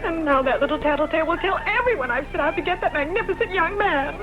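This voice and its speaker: A woman's voice: very high-pitched, expressive, quick pace, over music. A woman dubbing an eager, wistful young handmaiden in a costume film, girlish and gushing, on a dull film soundtrack. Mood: eager, fretful. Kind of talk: dubbed costume-film acting.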